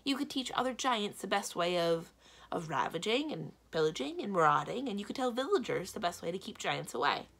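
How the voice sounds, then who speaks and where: A woman's voice: medium pitch, very animated, brisk, vocal fry, fairly bright tone, husky, exaggerated voice. A woman voicing an earnest, forthright young princess in a storybook. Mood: encouraging.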